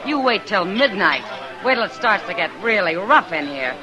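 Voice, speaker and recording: A woman's voice: mid-range voice, sing-song, normal speed, crowd noise, natural voice. A woman playing a bold, caring frontier saloon woman in a western radio drama, frank and insistent in her delivery. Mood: urgent, indignant. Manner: frank, insistent, plain-spoken.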